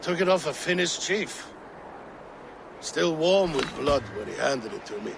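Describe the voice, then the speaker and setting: An actor playing a weary, ailing, grieving Viking king in a video game, proud and brooding, with a gravelly voice and halting, solemn delivery. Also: fairly high pitch, lively intonation. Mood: solemn reminiscing. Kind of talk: dramatic game cutscene acting. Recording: game ambience noise behind the voice.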